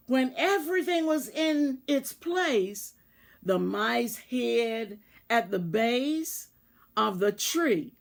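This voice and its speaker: Very slow, high-voiced woman reading aloud. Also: creaky. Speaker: storybook reader. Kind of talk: expressive children's read-aloud narration.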